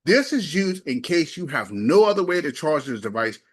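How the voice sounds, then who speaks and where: A man's voice: a little high, very animated, moderate pace, slightly rough, no room sound. A man reviewing a gadget casually and hands-on, talking himself through each step in a folksy, emphatic way. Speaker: product reviewer.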